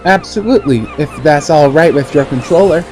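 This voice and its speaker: A man's voice: a little high, sing-song, a little fast, over music. A man playing a confident, kindly champion sailor, earnest and encouraging, in an amateur audio drama. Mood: reassuring.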